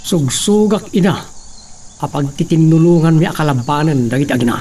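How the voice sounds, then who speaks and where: An actor playing a vengeful, scheming villain who broods aloud in a melodramatic radio drama. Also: male voice, a little high, lively intonation, fairly quick, warm tone, husky. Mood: menacing scheming. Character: scheming, vengeful male villain plotting murder and takeover.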